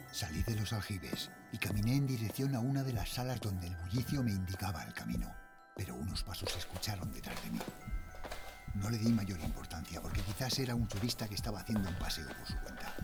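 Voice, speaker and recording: A man playing a frightened father and night guard, telling his horror story in a Spain accent with tense, dramatic delivery and hushed phrase endings. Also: deep voice. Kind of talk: scripted first-person horror narration, audio drama.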